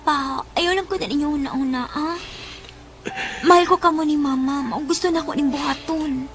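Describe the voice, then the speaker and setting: Woman acting very high-voiced earnest little Bisaya girl. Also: fairly even intonation, normal speed, a little hiss or hum. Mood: tender, pleading. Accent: Cebuano Bisaya. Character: dutiful twelve-year-old girl from a poor family, earnest and helpful. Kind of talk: Bisaya radio drama acting.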